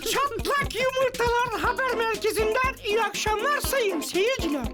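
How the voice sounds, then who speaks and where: A clownish young cartoon child, speaking very high with a dramatic, mock-heroic flair. Music plays underneath. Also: lively intonation, moderate pace. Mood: mock newsreader. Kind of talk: preschool cartoon voice acting.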